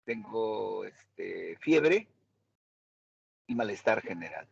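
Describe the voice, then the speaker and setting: A man's voice: lowish voice, sing-song, drawn-out words, creaky, husky, muffled recording. A man teaching a medical seminar, questioning and quizzing his students. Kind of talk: interactive medical lecture.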